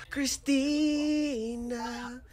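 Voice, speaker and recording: A man's voice: very high-pitched, heavy background noise. A young man in a small bedroom on a webcam, bantering playfully and teasing strangers in a video chat.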